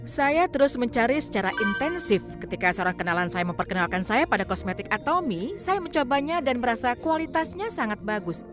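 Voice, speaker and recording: Calm woman narrating over music. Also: a little high. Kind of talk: dubbed reading of a personal testimony. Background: background music.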